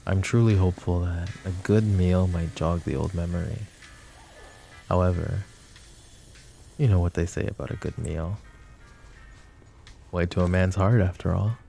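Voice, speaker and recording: A man playing a suave, possessive mafia boss in an intimate roleplay, speaking with mock courtesy and a teasing drawl in a low, gravelly voice. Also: fairly even intonation, moderate pace, pauses often, mellow, faint music behind. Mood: flirty, smug. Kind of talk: roleplay ASMR acting, intimate address to listener.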